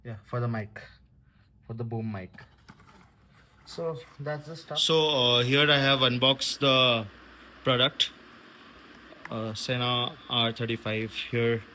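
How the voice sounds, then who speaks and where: Slow-talking man, bright voice, casual unboxing. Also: medium pitch, steady tone, a little hiss or hum. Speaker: vlogger unboxing a product.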